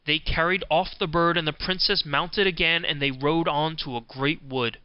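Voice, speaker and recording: Guy narrating a fairy tale audiobook, smooth voice, very dry but slightly muffled recording. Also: fairly high pitch, fairly even intonation, moderate pace, no echo.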